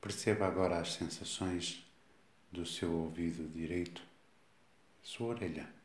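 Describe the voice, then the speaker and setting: A man with a European Portuguese accent guides a body scan meditation, giving slow, calm instructions with long pauses. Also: low-pitched. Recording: echoey, reverberant room sound.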